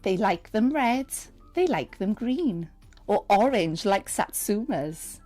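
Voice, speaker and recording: A woman reading a picture book aloud to young children, expressive and speaking straight to her listeners. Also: medium pitch, slow. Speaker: storyteller and teacher reading to children. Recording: music under the voice. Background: music and sound effects.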